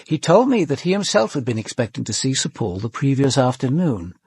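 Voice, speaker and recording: An actor playing a composed, observant police commander with an English accent, in measured, formal radio drama narration and questioning. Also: male voice, mid-range voice, very animated, darkish tone, slightly rough, dry recording, heavily acted. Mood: reflective, measured. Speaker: detective narrator.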